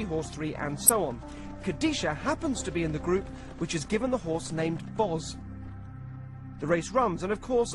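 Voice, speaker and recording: Casting: male host, measured and explanatory, fairly high voice, music bed under him. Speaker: show host and presenter. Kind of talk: scripted narration and on-location talk.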